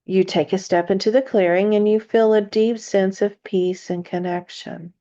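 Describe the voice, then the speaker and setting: A woman leading a guided meditation in a soothing narration style, with gentle, deliberate phrasing and long pauses. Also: mid-range voice, steady tone, highs cut off.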